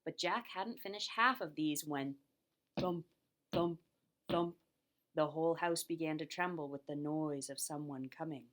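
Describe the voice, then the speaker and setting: A woman's voice: low voice, measured pace, a bit bright. Woman storyteller narrating for children. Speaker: puppet show storyteller.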